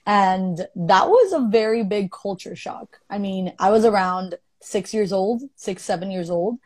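A woman's voice: medium pitch, animated, unhurried, a little mellow, no room sound. Earnest young woman from Colombia, dry sound. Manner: earnest, thoughtful, many fillers. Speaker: guest. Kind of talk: casual conversation.

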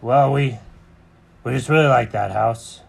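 A man's voice: mid-range voice, measured pace, gravelly, slightly rough, heavily acted. A man voicing an earnest, mild-mannered leader pigeon who explains things hesitantly in a children's story. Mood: apologetic.

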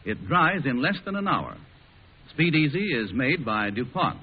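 A man's voice: medium pitch, very animated, a little slow. A man working as a radio announcer, reading a scripted 1940s introduction and advertisement. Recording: tinny, band-limited 1940s radio recording.

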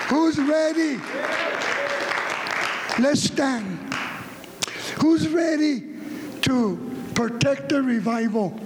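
A middle-aged man from the United States preaching a fervent revival sermon, repeating phrases and rising to shouts. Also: very high voice, slight background noise. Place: large church hall. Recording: long hall reverb.

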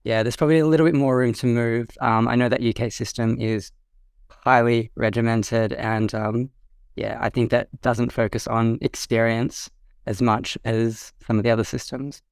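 A podcast host who once taught early childhood, asking questions in a relaxed, hesitant way. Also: mid-range voice, moderate pace, clean tone, dry recording. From Australia. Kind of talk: casual interview chat.

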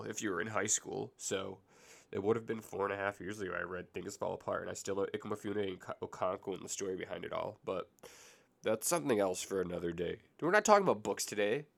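A man's voice: low voice, quick pace, creaky, fairly bright tone. A man of about twenty with a stuffy, congested nose, hosting a casual solo podcast from a basement room, rambling through tangents and correcting himself. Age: around 20. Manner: rambling, goes off on tangents, self-correcting, joking sarcasm. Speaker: podcast host.

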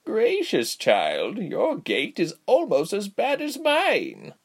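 Man putting on a cheery, high-pitched old healer woman. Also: wildly swooping pitch, slightly rough, exaggerated voice. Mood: motherly. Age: older. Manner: slow, drawling, kindly teasing delivery. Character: cheerful, giggly elderly village healer woman. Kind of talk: storybook character voice.

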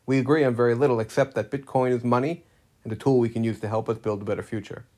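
A man, one of the podcast hosts, reading the scripted show introduction in a steady announcer manner. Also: mid-range voice, quick pace. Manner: steady read-out announcer delivery. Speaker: podcast host.

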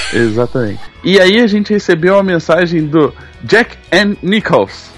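A man's voice: high voice, very animated, measured pace, fairly bright tone, faint music behind. A man hosting a talk show, teasing his co-host and reading out listener mail. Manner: joking, teasing banter. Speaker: podcast host.

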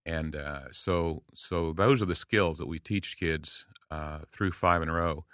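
A man's voice: rumbling low, measured pace, no room sound, clean studio sound. A man in his late sixties, a guest on a podcast, talking earnestly and telling stories to encourage listeners. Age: 60s. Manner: earnest, storytelling, warm encouragement with acted quotes. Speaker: guest speaker. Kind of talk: casual podcast conversation.

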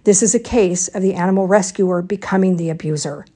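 A woman, an organization's president, delivering a prepared statement in a formal, measured and emphatic way. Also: lowish voice, warm tone.

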